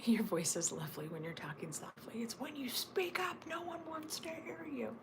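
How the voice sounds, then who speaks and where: A woman hosting a casual morning livestream from home, talking in a hushed half-whisper while others sleep, rambling and joking as she reads the chat. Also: fairly high pitch, expressive, moderate pace, gravelly, background noise. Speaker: livestream host reading chat. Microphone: phone or camera on a tripod.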